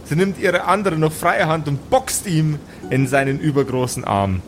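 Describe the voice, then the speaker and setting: A man narrating an improvised tabletop audio play as game master, telling the scene in deliberate, descriptive phrases. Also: on the high side, slight background noise.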